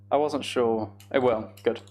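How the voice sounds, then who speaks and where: A man's voice: medium pitch, steady tone, slow, a little mellow. A man chatting casually as he livestreams a game, with self-deprecating, muttered asides to himself. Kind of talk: casual gaming livestream chat with commentary.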